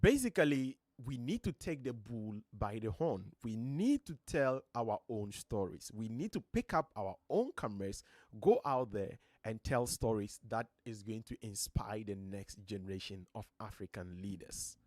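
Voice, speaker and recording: A Ghanaian man with a deep voice, giving earnest, motivational talk as host of a live stream from his home studio, his voice a little strained. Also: sing-song, moderate pace, darkish tone, no room sound. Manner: earnest, motivational, emphatic rises. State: voice slightly strained.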